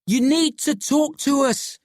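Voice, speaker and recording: Narrator doing bossy worried boy taking charge in an audiobook, urgent, higher pitch. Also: a little slow, dry recording, clean studio sound. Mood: controlled, soothing. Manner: stern, urgent, soothing by effort. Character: wary, bossy boy trying to keep control.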